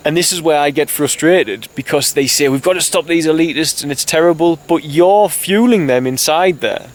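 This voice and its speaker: A man's voice: fairly high pitch, a little hiss or hum. A man giving an impassioned monologue straight to camera, urging the listener in a rambling way that restarts mid-thought. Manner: earnest, urgent, addresses listener directly as "you". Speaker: video blogger.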